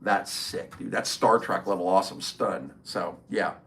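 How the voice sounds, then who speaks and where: A man's voice: low-pitched, a little slow, vocal fry, fairly bright tone. A middle-aged man hosts a casual livestream. He talks loosely and sociably, replying to chat comments by name as they come in. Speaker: livestream host. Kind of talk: casual live stream chat.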